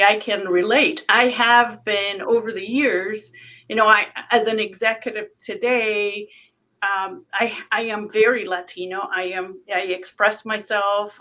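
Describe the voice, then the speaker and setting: A woman's voice: fairly high pitch, bright, forceful tone, poor audio. A woman executive speaking on a panel in a direct, passionate and emphatic way. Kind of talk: panel discussion.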